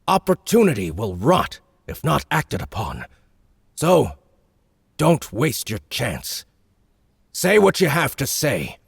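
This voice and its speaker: A man voicing a huge, shrewd male baron who speaks slowly and commands the room, in a dry close recording. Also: mid-range voice, wildly swooping pitch, vocal fry, husky, dry recording, pitched above the performer's own voice, heavily acted. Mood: commanding. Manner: slow, measured and domineering.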